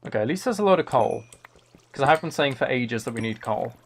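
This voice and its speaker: A man's voice: medium pitch, lively intonation, fast, a little hiss or hum. A man chatting casually as he live-streams a game, thinking aloud in an easygoing running commentary. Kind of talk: casual chat while playing a game live.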